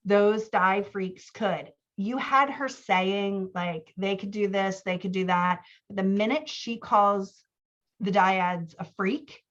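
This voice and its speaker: A woman talking casually as a writing coach, giving feedback and acting out bits of dialogue in an animated, conversational way. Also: medium pitch. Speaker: book coach giving feedback on a writer's scenes. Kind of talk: casual coaching talk mixed with reading out scene dialogue. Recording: slightly dull, lo-fi audio.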